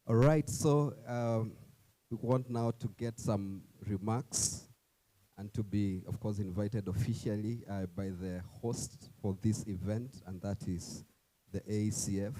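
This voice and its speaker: A man's voice: lowish voice, steady tone, dark tone, dry recording, low-quality recording. Man emceeing a conference. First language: not English. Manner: chatty, with fillers, drawn-out introductions. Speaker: event moderator. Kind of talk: emcee introductions.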